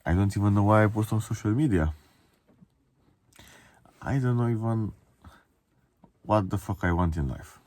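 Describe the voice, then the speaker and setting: Young romanian guy, really low mellow voice, just rambling honestly to camera, sweary. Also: moderate pace, long pauses. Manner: candid, reflective, swearing. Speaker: vlogger. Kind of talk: casual confessional monologue to camera.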